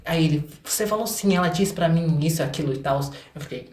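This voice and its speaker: A man's voice: fairly high pitch, a little fast, darkish tone. An eighteen-year-old Brazilian man chatting casually as an interview guest, telling stories with slangy asides and acted-out quotes. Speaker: guest, singer-songwriter. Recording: echoey room.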